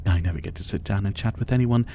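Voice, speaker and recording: A man's voice: on the low side, dark tone, a little hiss or hum. A man playing a menacing, teasing vampire captor in an intimate ASMR roleplay, drawling and mockingly polite. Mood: teasing menace. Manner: slow, drawling, mockingly polite, with breathy chuckles. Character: menacing, teasing male vampire captor, silver-haired, about seventy.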